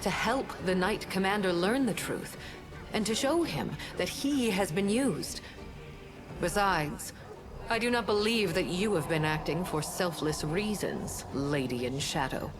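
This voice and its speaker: An actress playing a righteous, stern goddess in a video game, speaking in a grave, measured and formal manner. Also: female voice, on the low side, music in the background, close to an everyday voice. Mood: stern, accusing. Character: solemn, righteous goddess of justice, stern and noble.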